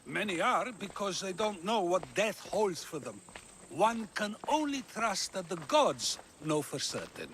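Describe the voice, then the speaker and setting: Old greek thinker in a game, high and bright, musing and a bit teasing. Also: male voice, big pitch swings, measured pace, slight background noise, full character voice. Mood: reflective. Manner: musing, questioning, gently teasing. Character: wise old male philosopher, probing and wry. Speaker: game character. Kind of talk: video game cutscene acting.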